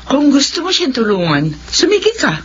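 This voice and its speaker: An actress playing a stern, protective, disapproving aunt in a radio drama, scolding with emphasis. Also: female voice, high-pitched, huge pitch swings, a little fast. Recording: dull sound, faint ambient noise.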